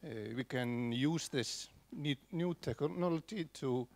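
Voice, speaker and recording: Halting man with a gravelly voice. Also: on the high side, a little mellow. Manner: halting, many restarts and fillers. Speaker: conference audience member. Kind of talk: spontaneous comment from the floor.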